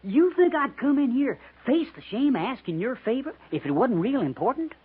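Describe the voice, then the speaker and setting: Folksy cavalry trooper, shameless cadger, husky and swooping, pleading, old radio western. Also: male voice, very high-pitched, very animated, moderate pace, full character voice. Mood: wheedling, mock sincere. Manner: folksy, earnest pleading, stammering excuses. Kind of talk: 1950s radio western acting. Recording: muffled vintage 1950s radio recording.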